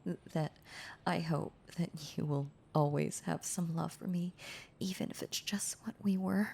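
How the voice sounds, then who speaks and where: A woman playing a heartbroken, tender former girlfriend in an intimate audio roleplay, confessing haltingly in a breathy, husky voice that slips into whispers. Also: fairly low pitch, a little mellow, slight background noise. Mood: tender, bittersweet. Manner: halting, confessional, repeating words, breaking into whispers.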